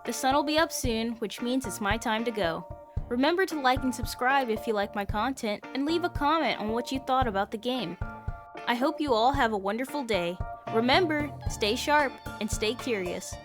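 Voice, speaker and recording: A woman's voice: fairly high pitch, animated, normal speed. A woman hosting a playthrough, reading visual novel narration aloud and adding her own commentary. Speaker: host of a video game playthrough channel. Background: background music.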